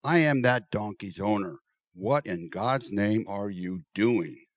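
A man's voice: fairly low pitch, slow, dry recording, studio quality. A man voicing an angry, suspicious stable owner in a rhymed storybook tale. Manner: indignant, demanding.

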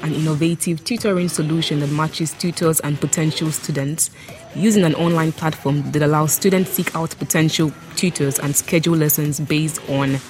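A woman hosting a podcast interview, lively and quick to laugh, who reads out her guest's biography. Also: deep voice. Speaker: podcast host.

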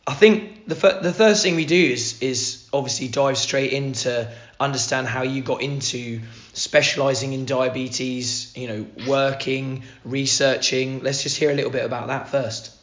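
Hoarse croaky man, podcast host, upbeat and slangy, dull lo-fi sound. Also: medium pitch, normal speed, few pauses, a bit bright. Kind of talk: casual podcast chat.

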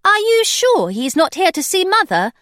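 A woman voicing a spirited young woman pirate captain, brisk and commanding. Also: very high voice, big pitch swings, a bit bright, dry recording, clean studio sound, voice pushed up, heavily acted. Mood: doubtful.

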